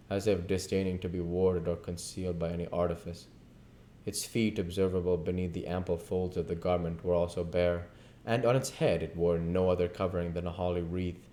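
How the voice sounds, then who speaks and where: A man narrating a literary story as an audiobook reader, with deliberate, emphatic phrasing and occasional stumbles. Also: low-pitched, mellow, slight background noise.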